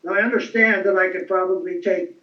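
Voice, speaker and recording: High-pitched guy on a call, precise, muffled and roomy sound. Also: steady tone, a little fast, distant mic. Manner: methodical, precise. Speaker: committee member on a call. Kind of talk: making requests at a meeting. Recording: dull, echoey remote audio.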